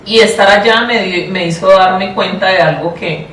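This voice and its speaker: A woman's voice: medium pitch, moderate pace, fairly bright tone. Colombian woman giving thoughtful interview answers, kinda repeats herself, roomy sound w mic a bit far. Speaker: interview guest, public education official. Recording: roomy, distant mic, faint noise.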